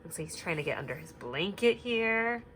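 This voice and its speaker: A woman teacher talking gently to young children, singing little hand-washing songs and reading a picture book aloud with many questions. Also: medium pitch, animated, moderate pace, a little hiss or hum. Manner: gentle, sing-song, asks many questions.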